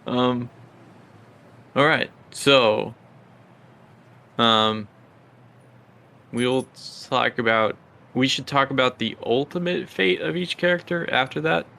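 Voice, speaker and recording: A man acting as game master, narrating and improvising a tabletop roleplaying game in a halting, think-aloud way. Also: mid-range voice, steady tone, creaky, bright, forceful tone.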